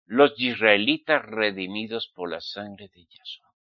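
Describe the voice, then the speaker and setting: A man's voice: lowish voice, measured pace, dry recording, lo-fi sound. A man from Spain with a Spanish accent, preaching in an emphatic, admonishing way and putting rhetorical questions to his listeners. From Spain, Basque Country. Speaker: religious preacher. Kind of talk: fiery sermon addressed to listeners.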